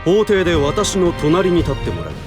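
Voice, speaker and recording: Stern male prosecutor, formal and commanding, fairly high voice, animated intonation, audio drama. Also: normal speed, music in the background. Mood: lofty, composed. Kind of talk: Japanese audio drama voice acting.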